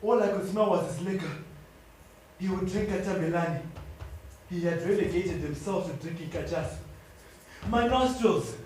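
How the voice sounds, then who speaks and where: Male stage actor, extremely high voice, intense emotive storytelling, short emphatic phrases, roomy recording, faint noise. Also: moderate pace, pauses often, darkish tone. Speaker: stage actor narrating as a child. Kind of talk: dramatic theatrical monologue.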